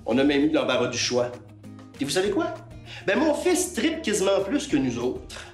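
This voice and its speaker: A man with a Québécois accent delivering an upbeat, teasing scripted promotional pitch. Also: huge pitch swings, normal speed. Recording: roomy echo with music behind.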